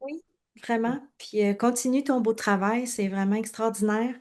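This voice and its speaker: A woman's voice: on the high side, normal speed, darkish tone. A woman from Quebec with a Quebec French accent, speaking casually as a coach being interviewed. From Quebec, Canada.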